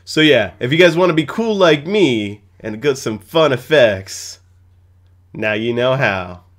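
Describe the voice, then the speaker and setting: A man's voice: medium pitch, animated, unhurried, fairly bright tone. A man in his thirties giving a casual, playful screen-recorded streaming tutorial in a goofy, chatty way. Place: small home room with gaming setup, indoors.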